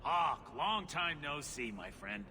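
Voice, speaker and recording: Genial male dwarf trader, forceful lively voice, teasing, fantasy game dialogue, hall reverb. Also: fairly high pitch, slow pace, slight background noise, heavily acted. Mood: jovial, teasing. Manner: jovial, teasing. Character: genial sly male dwarf merchant, worldly information-peddler. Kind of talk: video game character dialogue.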